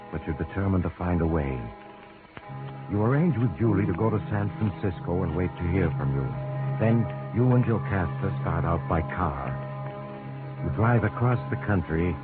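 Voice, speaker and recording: Male actor as ominous radio suspense narrator, very low voice, deliberate, insinuating, muffled vintage recording with music. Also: natural voice. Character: ominous knowing narrator addressing the protagonist as you.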